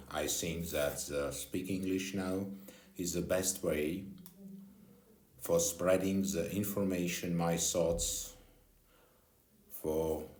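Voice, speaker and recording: Slow, deep-voiced older man with Armenian accent. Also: a little mellow, reverberant. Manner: slow, halting non-native English with pauses and fillers. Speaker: retired official addressing followers. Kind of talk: direct address to camera.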